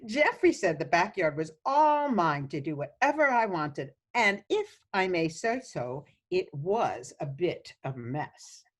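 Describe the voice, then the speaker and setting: A woman's voice: on the low side, big pitch swings, measured pace, few pauses, breathy edge, full character voice. A woman playing a sweet, chatty, meddling elderly mother in a radio play, coaxing and cheerfully oblivious. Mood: breezily meddling. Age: older.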